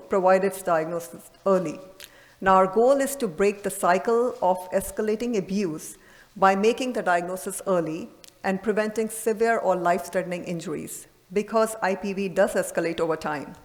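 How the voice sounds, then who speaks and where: A woman's voice: medium pitch, normal speed. Woman lecturing in a reverberant hall. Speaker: radiologist presenting at a medical conference. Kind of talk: conference lecture.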